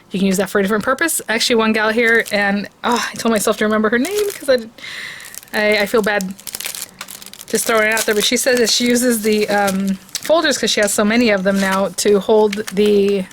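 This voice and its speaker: Woman craft host, hoarse, gushing and chatty, mid pitch, faint background noise. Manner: chatty and excitable, gushing over products. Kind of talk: casual product review and crafting demo.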